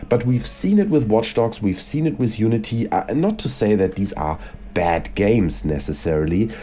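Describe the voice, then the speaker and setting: A man's voice: fairly low pitch, expressive, moderate pace, mellow, faint music behind. Man with a cold, chatty and opinionated. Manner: chatty and opinionated, rants with frequent "you know" and "right?". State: has a cold, voice slightly congested. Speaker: gaming YouTuber. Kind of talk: casual opinion vlog.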